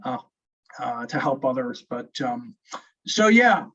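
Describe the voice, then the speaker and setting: A man's voice: mid-range voice, very animated, slow pace, fairly bright tone, slightly rough, recorded from a distance. A man hosting as a family law attorney, talking in a rambling, stop-start, friendly way in a casual interview. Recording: muffled audio from off-mic.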